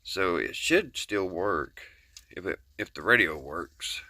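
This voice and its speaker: A man's voice: deep voice, animated, slow, bright, forceful tone, no echo. A man with a Kentucky Southern accent talking casually and plainly to his viewers, in a folksy, rambling way as he works with his hands. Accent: Kentucky Southern American. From Kentucky, United States. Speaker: host of a hobby repair video channel.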